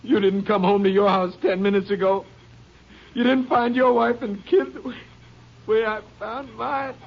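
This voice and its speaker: An actor playing a bitter, grief-stricken husband with a curt, strained manner in a 1950s radio drama. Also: male voice, high voice, steady tone, moderate pace, creaky. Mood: bitter, defiant. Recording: muffled vintage radio recording.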